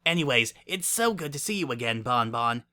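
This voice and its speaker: A man's voice: mid-range voice, lively intonation, brisk, sharp tone. A man voicing a flustered, anxious young female pony with a hesitant, awkward manner in a dramatic story reading. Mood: nervous, awkward.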